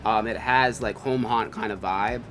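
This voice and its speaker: Bright-voiced man chatting over music. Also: lowish voice, fairly even intonation, normal speed. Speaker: co-host of a haunted attraction review. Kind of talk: casual conversational review. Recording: music under the talk.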